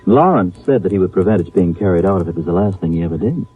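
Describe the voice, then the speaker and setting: A man's voice: low-pitched, lively intonation, fast talker, breathy edge. Stuffy, prissy man, tinny old radio. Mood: prissy, disdainful. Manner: pompous, fussy, precise diction. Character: stuffy, prissy, indignant male yacht passenger. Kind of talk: old-time radio drama acting.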